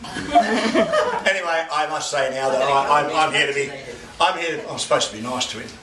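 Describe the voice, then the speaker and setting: A man's voice: on the high side, very animated, normal speed, a loud crowd. An older man gives a rambling, anecdotal speech at an exhibition opening, with many restarts and bawdy asides. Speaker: guest speaker opening an art exhibition. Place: gallery with a crowd. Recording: echoey room, far from the mic, noisy.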